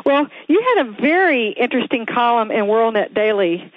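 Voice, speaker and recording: A woman's voice: fairly high pitch, big pitch swings, normal speed, no echo. Texan woman hosting a radio talk show. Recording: tinny phone-like radio audio.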